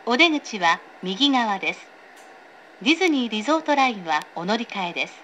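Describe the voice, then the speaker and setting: A woman delivers a scripted train announcement with polite, measured diction. Her voice is fairly high and moves in lively melodic steps at an unhurried pace. It is picked up inside the train, with running noise behind it. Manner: polite, formal, measured enunciation. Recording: recorded aboard a train, clear background noise.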